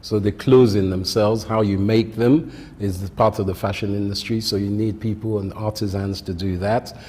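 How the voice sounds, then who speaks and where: A man with a Nigerian accent, a content creator and fashion designer answering interview questions in a measured way, with many ums. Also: fairly low pitch, fairly even intonation, darkish tone, husky, big-room sound.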